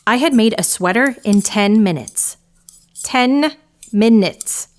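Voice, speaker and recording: An actress playing a frazzled female knitter confessing in an audio drama dark comedy, with a theatrical, wry, confiding manner. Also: a little high, lively intonation, slow. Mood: amazed, relieved.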